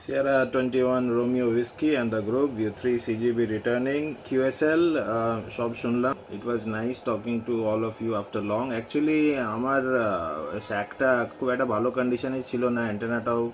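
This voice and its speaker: A man's voice: mid-range voice, takes it slowly, hardly any pauses, slight background noise, poor audio. A man giving a casual amateur radio check-in over a shortwave link.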